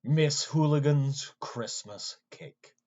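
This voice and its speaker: A man's voice: fairly high pitch, slow, a little mellow, highs cut off, slight background noise. A man narrating a scripted podcast piece as host, with a theatrical showman's delivery.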